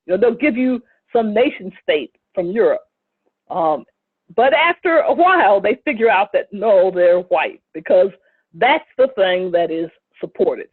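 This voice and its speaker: A woman giving a recorded lecture with slides, speaking in a measured way with frequent fillers and brief asides. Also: on the low side, slightly rough, dry recording. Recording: tinny phone-like lo-fi recording.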